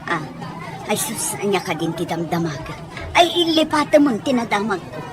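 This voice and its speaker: A woman's voice: medium pitch, very animated, moderate pace, over music, crowd noise, close to an everyday voice. A woman with an Ilocano accent playing an inquisitive, hesitant village mother in an audio drama. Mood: awkward. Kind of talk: radio drama acting.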